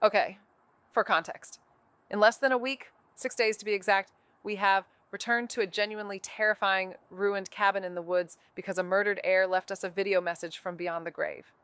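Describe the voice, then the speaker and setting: An actress plays a cocky young woman sleuth in a mystery audio drama. She has a clear, smooth voice in a close, dry recording. She is playful and glib, rambling on as she overexplains. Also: mid-range voice, fairly even intonation, moderate pace, a bit bright, dry recording, close to an everyday voice. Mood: dramatic, nervous. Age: 20s. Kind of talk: naturalistic audio drama banter.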